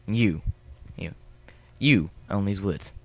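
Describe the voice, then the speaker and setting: A man's voice: fairly low pitch, slow, dry recording. A man playing a wary, folksy teenage boy who talks nervously, in an audio drama. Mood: surprised.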